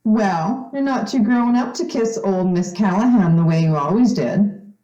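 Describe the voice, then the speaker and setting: A woman's voice: medium pitch, animated, unhurried, mellow, slight background noise, close to an everyday voice. Radio drama actress: older female nursemaid, affectionate scold, distant and dull, roomy recording. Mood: teasing. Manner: teasing, scolding. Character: bossy, affectionate older woman, old family nursemaid. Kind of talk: old-time radio drama acting. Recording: distant, dull, echoey room.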